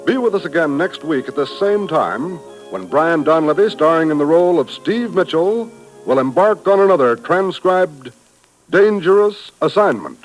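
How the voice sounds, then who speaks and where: A male announcer from old network radio, with a fairly high, polished voice. He reads promos and credits briskly, and faint music runs under the vintage broadcast. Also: sing-song, low-quality recording.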